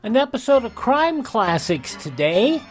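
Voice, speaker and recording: A man's voice: extremely high pitch, big pitch swings, a little slow, soft background music. A man with an American accent hosting a radio show, reading out historical dates in a scripted almanac style.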